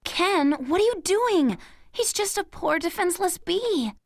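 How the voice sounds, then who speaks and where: An actress playing a kind, protective young woman in an animated comedy game. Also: extremely high pitch, unhurried, no echo, full character voice. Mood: protective, gentle.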